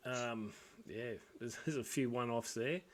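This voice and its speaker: A man's voice: mid-range voice, steady tone, unhurried. Male podcast co-host, easygoing and self-deprecating, casual sports banter. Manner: laid-back, laughs at himself. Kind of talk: casual sports tipping chat.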